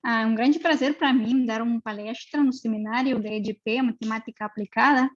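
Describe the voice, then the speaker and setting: High-voiced woman with a Russian accent. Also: fairly even intonation, a little fast, dull audio. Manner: hesitant, many fillers. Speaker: postdoctoral researcher. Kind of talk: academic seminar lecture.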